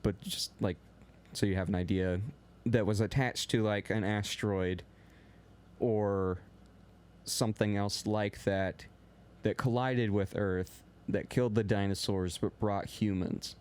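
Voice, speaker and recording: A young man co-hosting a sports podcast in casual chat. He talks a little loud and rambles, with lots of filler words. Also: fairly low pitch, fairly even intonation, a little slow. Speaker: podcast co-host.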